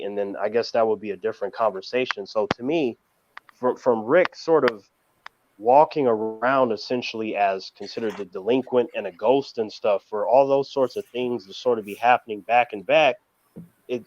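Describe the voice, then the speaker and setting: A man with a mid-pitched voice talks as a panelist in a casual discussion. He is emphatic and often repeats words while working through his point. The audio is dull and lo-fi, like an online call. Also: moderate pace, a little mellow. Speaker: panelist on a TV review show.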